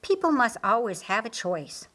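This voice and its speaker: A woman's voice: on the high side, very animated, moderate pace, fairly bright tone. A woman voicing an authoritative, loyal male archangel in an audiobook, explaining firmly and earnestly. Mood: firm, explanatory. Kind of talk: audiobook character acting.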